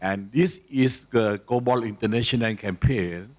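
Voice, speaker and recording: Thai guy asking a question from the audience, halting english, keeps searching for phrasing. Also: on the low side, darkish tone. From Thailand. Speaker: audience questioner, organisation vice president. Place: conference audience question session.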